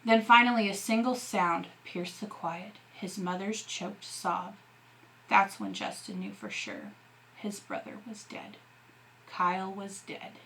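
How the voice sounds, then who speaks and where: A woman teacher reading a novel aloud in an expressive narrating style. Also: mid-range voice, a little hiss or hum, low-quality recording.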